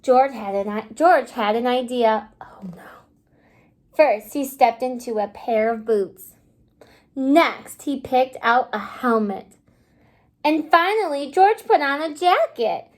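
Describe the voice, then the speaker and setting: Very high-pitched, expressive woman teacher reading aloud. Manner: expressive, prompting listeners with questions and pauses. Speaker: kindergarten teacher. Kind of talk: children's read-aloud lesson with questions.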